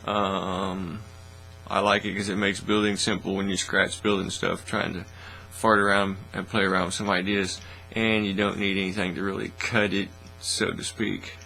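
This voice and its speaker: A man hosting a casual hands-on hobby demonstration in a folksy, informal, unhurried way, recorded in a small indoor workshop studio. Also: low-pitched, vocal fry, faint music behind, poor audio. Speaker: host of a hobby workbench channel. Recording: steady mains hum, faint noise.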